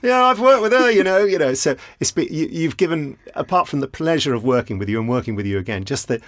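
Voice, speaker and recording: A man's voice: fairly high pitch, expressive, fast, a bit bright, no room sound. A man interviewing for a podcast in a casual, jokey, playful way.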